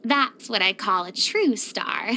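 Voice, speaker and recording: A woman's voice: medium pitch, expressive, slow pace, pushed and bright. A woman voicing a vain yet insecure young retired opera star in a video game, theatrical and boastful with hesitant, sighing turns. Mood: proud, showy. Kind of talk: scripted game character voice lines.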